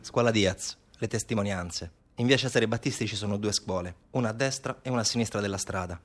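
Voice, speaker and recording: Male stage narrator, very quick delivery, urgent and insistent, documentary-style dramatic monologue. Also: fairly low pitch, over music. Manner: urgent, insistent, repetitive staccato phrasing.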